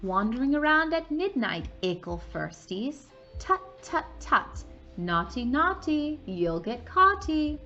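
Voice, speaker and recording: A woman's voice: high voice, sing-song, takes it slowly, sharp tone, muffled recording, soft background music, voice pushed up, heavily acted. A woman voicing a mischievous male poltergeist who taunts in a sing-song way. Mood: gleeful taunting.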